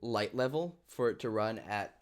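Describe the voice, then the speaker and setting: A man's voice: fairly low pitch, animated, normal speed. Casual man hosting a group stream. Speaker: live stream host.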